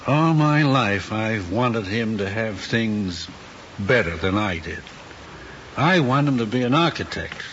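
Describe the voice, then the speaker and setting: Worried middle-aged dad in an old drama, halting and earnest, muffled hissy soundtrack. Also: male voice, on the low side, animated, a bit bright. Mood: wistful, earnest. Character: ambitious, anxious middle-aged working father of a student. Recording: dull old film soundtrack, faint hiss.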